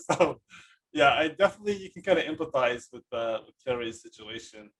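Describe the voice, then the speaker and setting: A man's voice: fairly high pitch, animated, normal speed, a bit bright, low-quality recording. A man hosting a podcast in a casual, enthusiastic and rambling conversational way. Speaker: podcast host. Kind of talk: casual chat.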